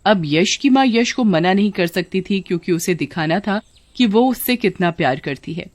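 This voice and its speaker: A woman's voice: mid-range voice, lively intonation, moderate pace, a little mellow, dry recording. A woman giving scripted narration for a children's cartoon story.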